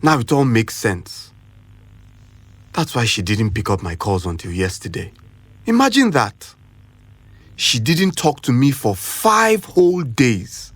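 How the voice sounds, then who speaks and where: Audio drama: wounded, touchy young Nigerian man, husky voice, dry recording with faint music. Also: mid-range voice, big pitch swings, slow pace, long pauses, dry recording. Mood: hurt, brooding. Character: hurt, defensive young man troubled over his girlfriend.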